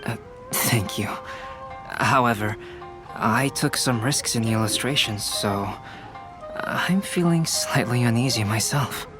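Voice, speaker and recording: A man voicing a calm, analytical young male alchemist and illustrator in a video game, soft-spoken and logical. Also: medium pitch, measured pace, music in the background, lightly acted.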